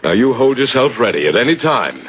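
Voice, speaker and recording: A man's voice: medium pitch, sing-song, a little fast, a bit bright. An actor playing a genial, authoritative older club chairman in a 1970s radio drama, avuncular and clubby. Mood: hearty. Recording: noisy old radio recording.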